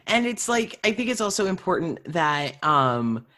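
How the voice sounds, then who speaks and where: A woman's voice: lowish voice, sing-song, normal speed, pushed and bright. Earnest woman in casual interview conversation. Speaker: interview participant, actor.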